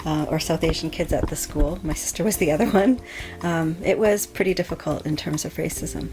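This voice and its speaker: A woman's voice: deep voice, fairly quick, music in the background, low-quality recording. A middle-aged woman being interviewed as an author, answering thoughtfully with frequent ums and restarts. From Canada. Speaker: author guest.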